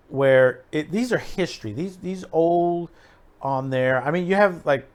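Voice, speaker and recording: A middle-aged American man giving unscripted opinion commentary as a show host, rambling and emphatic, often repeating himself. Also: medium pitch. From United States.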